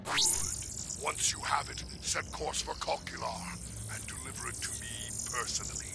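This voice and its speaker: A man voicing an imperious, menacing robot warlord in a video game, gravelly and commanding. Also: mid-range voice, fairly even intonation, normal speed, over loud music, lightly acted. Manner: commanding, ominous. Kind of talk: video game villain acting.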